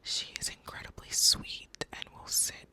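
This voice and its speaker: A young woman from Boston whose first language is Spanish, telling personal facts in a slow, soft ASMR whisper. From United States (Boston). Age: young adult. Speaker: ASMR creator.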